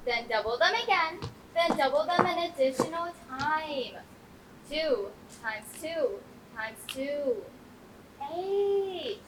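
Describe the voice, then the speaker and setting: Teen girl as a slow, high-voiced pedant. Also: heavily acted. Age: teenager. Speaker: student actor. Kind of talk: amateur stage comedy acting. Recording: echoey, noisy stage recording from far off.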